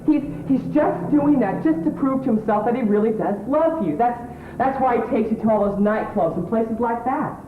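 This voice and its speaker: A man with an English accent playing a scruffy, earnest, smugly analytical suitor on an amateur stage, repeating words haltingly as he explains. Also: extremely high pitch. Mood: smugly reassuring. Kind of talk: amateur stage play acting. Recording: tinny old tape, roomy, background hiss.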